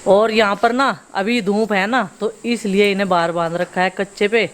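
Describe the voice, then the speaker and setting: A woman's voice: mid-range voice, steady tone, normal speed. A woman in her thirties chatting casually as she films daily life outdoors at her village home. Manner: chatty, explains as she goes, teasing with kids. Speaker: family vlogger.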